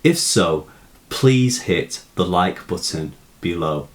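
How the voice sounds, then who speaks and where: A man giving a scripted educational narration on literature, speaking in measured, deliberate phrases. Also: sits low, animated. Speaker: literature teacher presenting poem analysis.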